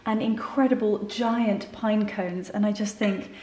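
A woman's voice: mid-range voice, steady tone, a little slow, mellow. A young woman presenting a house tour vlog in an effusive, enthusiastic manner. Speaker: lifestyle vlogger. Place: large rooms inside a house. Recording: roomy, distant camera mic, noisy.

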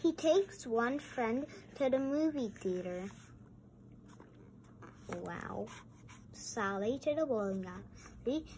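A woman reading a picture book aloud and adding chatty, exclamatory comments in a halting way. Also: on the high side, expressive. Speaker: read-aloud host. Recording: noisy amateur home recording.

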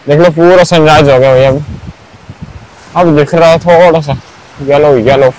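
A man's voice: on the high side, fairly even intonation, normal speed, darkish tone, poor audio. Chatty man walking a beach. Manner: chatty, keeps saying "bhai" and "matlab". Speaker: vlogger. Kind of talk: casual walking tour narration. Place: outdoors on a beach at sunrise.

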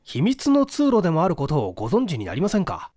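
Courteous, eager male sleuth for a storybook mystery, read by a man, earnest formal speech, animated, dry sound. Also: on the high side, fast, no room sound. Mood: probing, reasoning. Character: polite, eager male private detective with heavy moustache. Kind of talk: audiobook character dialogue.

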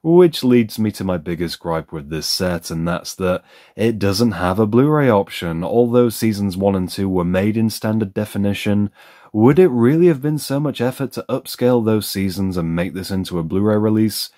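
A man with a notably low voice talks through an unboxing in a relaxed, conversational voice-over. He is candid and opinionated, weighing pros and cons as he goes. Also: normal speed. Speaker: reviewer.

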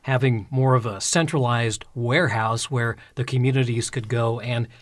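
Man asking measured interview questions. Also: mid-range voice, fairly even intonation, no room sound. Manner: measured, plainly phrased questions. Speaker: radio show host.

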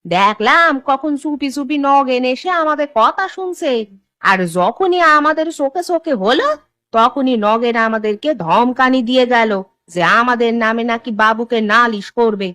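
A woman's voice: on the high side, lively intonation, normal speed, fairly bright tone, dry recording, studio quality. A woman voicing a respectful, concerned villager in a cartoon, speaking earnestly. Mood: gossiping. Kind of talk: Bengali cartoon dialogue.

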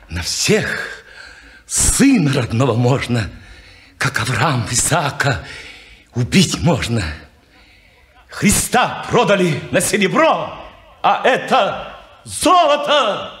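A man's voice: on the high side, sing-song, slow pace, long pauses, exaggerated voice. An actor playing a half-mad old man raving over a gold coin, in a 1950s radio play. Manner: raving, declamatory, shouting. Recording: roomy old radio recording.

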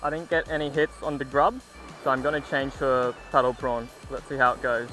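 A young man narrating his beach fishing vlog in a steady, instructional way, recorded outdoors on an action camera held at arm's length. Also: mid-range voice, a little fast. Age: young adult. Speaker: fishing vlogger. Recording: music playing under the voice. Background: music.

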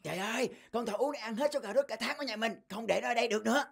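A woman's voice: fairly high pitch, very fast, vocal fry, husky. A woman voicing a bossy, grumpy older brother in a children's cartoon. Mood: angry.

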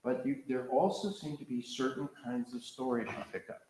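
A man's voice: mid-range voice, normal speed, a little mellow, slight background noise. Older man lecturing in an echoing hall. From American Pacific Coast. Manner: conversational, digressive, gestures while pacing. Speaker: professor leading a master class. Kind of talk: lecture to a seated audience. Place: large lecture hall with red seats. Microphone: far camera.

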